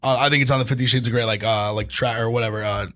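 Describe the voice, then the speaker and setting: Man with a deep raspy baritone. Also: steady tone, rapid-fire, a bit bright. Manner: laid-back, lots of "like" fillers. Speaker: podcast co-host. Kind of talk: casual chat.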